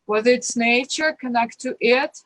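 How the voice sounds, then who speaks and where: A woman from Ukraine with a Ukrainian accent, a guest talking earnestly and warmly about her life, rambling and restarting her sentences. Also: on the high side, moderate pace. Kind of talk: casual interview talk, personal advice.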